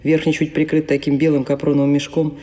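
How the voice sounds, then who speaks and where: A man's voice: on the high side, monotone, fast, dark tone. A man reading scripted audio play narration in a detached, step-by-step descriptive manner. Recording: long reverberant hall echo.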